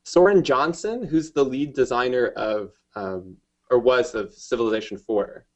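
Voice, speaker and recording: A man's voice: medium pitch, measured pace. A man from New Jersey, a game developer guest, talks casually in rambling sentences full of fillers. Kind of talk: casual interview chat.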